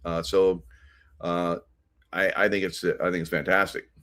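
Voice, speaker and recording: An American man hosting an unscripted political commentary, speaking in a halting, rambling way. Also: sits low, little pitch movement, fairly bright tone. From United States. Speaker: host of a political commentary show.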